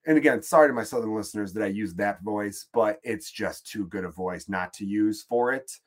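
A man's voice: fairly low pitch, fairly quick. A man with a Chicago accent, hosting a podcast and delivering a profane, exasperated, rambling comedic rant. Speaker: podcast host.

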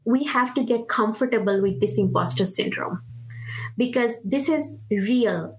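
A woman's voice: mid-range voice, rough recording. Indian woman, 30s, product manager giving encouraging advice, conversational, lots of restarts. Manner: earnest, encouraging, many fillers and restarts. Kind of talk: casual interview talk.

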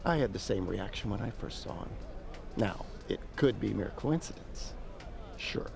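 An actor playing a blunt, suspicious senator in an audio drama, cynical and menacing, with a gravelly voice. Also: male voice, fairly low pitch, animated, moderate pace, noisy background. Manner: cynical, threatening. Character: blunt, suspicious, foul-mouthed male senator.

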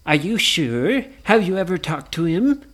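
A woman's voice: on the low side, sing-song. A woman voicing a timid male ghost in a children's story, hesitant and drawing out the words. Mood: timid, unsure. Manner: hesitant, drawn-out delivery. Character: timid, drawling male ghost named Hector.

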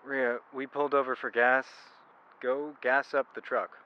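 A man's voice: medium pitch, level tone, a little slow, dull audio, close to an everyday voice. An actor playing a weary young man in a supernatural mystery audio drama, caught up in a search for a missing girl. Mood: exasperated, concerned. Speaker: narrator and character in supernatural mystery podcast. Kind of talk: audio drama acting with reflective narration.

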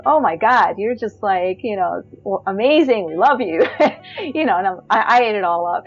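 High-voiced woman, animated personal storyteller, slightly dull recording with soft music behind. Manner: animated, acts out quotes with pitch jumps, frequent fillers. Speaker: storyteller sharing her life story.

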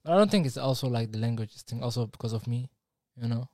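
A man's voice: mid-range voice, lively intonation, fast talker, a little mellow, no echo, clean studio sound. A young man co-hosting a podcast in casual chat, English not his first language, joking and stumbling a little when searching for words. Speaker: podcast co-host. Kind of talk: casual live-stream chat.

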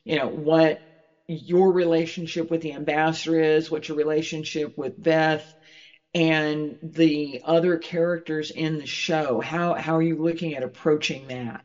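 An interviewer asking questions in a measured, halting way. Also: mid-range voice, flat delivery, darkish tone. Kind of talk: interview, slow deliberate questioning. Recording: muffled, long hall-like reverb.

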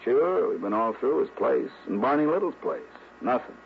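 A man's voice: mid-range voice, sing-song, normal speed, creaky. An actor playing a brash, friendly New York police lieutenant who ribs his pal colloquially in a 1960s radio drama. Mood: exasperated. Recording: muffled old radio recording, faint noise.

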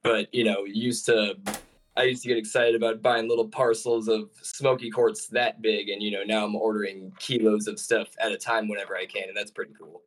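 Young man, low voice, relaxed, rambling, fillers, casual guest chat. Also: fairly quick, poor audio.

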